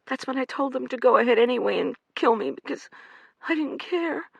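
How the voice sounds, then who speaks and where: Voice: high-pitched, lively intonation, fairly quick, gravelly, slightly rough, no room sound, highs cut off, pitched above the performer's own voice, heavily acted. An audiobook reader voicing a frightened young American woman on the run, tearful and pleading.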